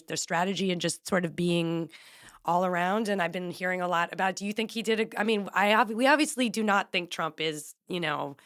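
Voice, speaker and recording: A woman hosting a casual podcast interview, trailing off and restarting her sentences. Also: fairly low pitch. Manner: hesitant, restarts sentences, laughs lightly. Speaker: podcast host.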